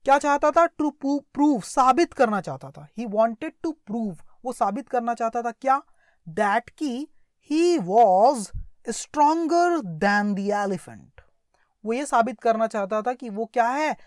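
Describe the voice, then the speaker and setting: Female English teacher, Indian accent, fairly high voice, explanatory and repetitive delivery, very dry recording. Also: lively intonation, takes it slowly, no echo. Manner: explanatory, repeats phrases and checks understanding. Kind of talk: lesson translating an English story into Hindi phrase by phrase.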